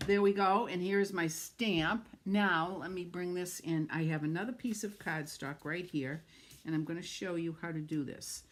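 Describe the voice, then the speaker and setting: A woman's voice: lowish voice, steady tone, normal speed, slight background noise. A middle-aged woman with a New England accent, chatting and gushing as she demonstrates card making on a live stream and greets viewers by name.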